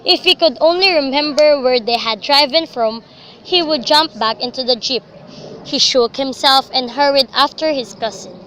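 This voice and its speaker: A woman's voice: sits high, moderate pace, pushed and bright. A young woman reading scripted, dramatic story narration for a student radio drama. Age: young adult. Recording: faintly noisy amateur recording.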